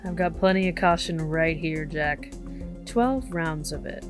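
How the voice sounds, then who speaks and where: A woman's voice: deep voice, measured pace, over music. A woman playing a tough, wry, foul-mouthed police officer in an audio drama, dry and commanding.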